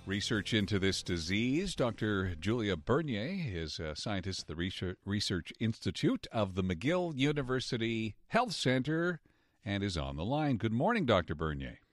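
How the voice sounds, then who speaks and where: Low-voiced, very animated male radio interviewer. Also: moderate pace, dry recording, soft background music.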